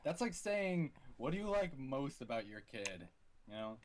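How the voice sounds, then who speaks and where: A man co-hosting a casual livestream, hesitant with many ums, now and then jumping into high silly voices. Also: big pitch swings, a little slow, a little mellow, a little hiss or hum. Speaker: livestream co-host.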